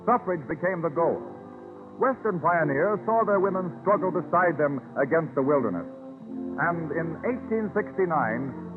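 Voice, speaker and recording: A man's voice: fairly high pitch, phone-quality sound. A man narrating history in a formal, declarative 1930s radio style. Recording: phone-thin 1930s radio recording with music. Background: music.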